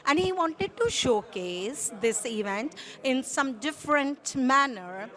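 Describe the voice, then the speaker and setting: Higher-pitched woman with an Indian accent. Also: animated, takes it slowly, a bit bright. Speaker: senior hospitality executive. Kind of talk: formal introduction to camera.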